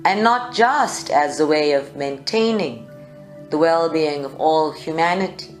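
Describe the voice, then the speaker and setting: A woman leads a guided meditation in short, measured phrases with long pauses. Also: fairly low pitch. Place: live group session indoors at a creativity centre. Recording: echoey room, music behind. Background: meditation music.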